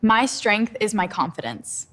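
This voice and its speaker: A woman's voice: medium pitch, sing-song, unhurried, fairly bright tone. A woman in her twenties from Delhi with an Indian English accent, giving short scripted answers in a spoken English practice drill. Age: 20s. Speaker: English trainer.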